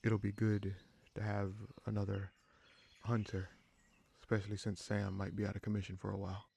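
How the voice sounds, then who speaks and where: A man playing an easygoing, protective bandit leader in a fantasy roleplay audio drama, speaking in a halting, conversational way with a gravelly voice. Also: lowish voice, completely flat delivery, warm tone. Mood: sincere, grateful. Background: birdsong, forest ambience.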